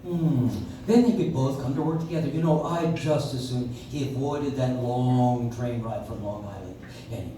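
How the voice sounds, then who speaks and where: A man playing an amiable, reassuring doctor with a measured, mild manner in a live radio play. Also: mid-range voice, mellow, lightly acted. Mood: easygoing, persuasive. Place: live stage in a coffee house. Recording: echoey, distant audience recording, faint noise.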